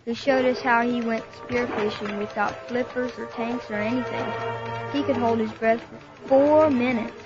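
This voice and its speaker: A woman's voice: on the high side, fairly even intonation, moderate pace, lightly acted. A woman playing an earnest young teen diver, narrating a 1970s children's adventure record. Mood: earnest storytelling. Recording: muffled old record with music.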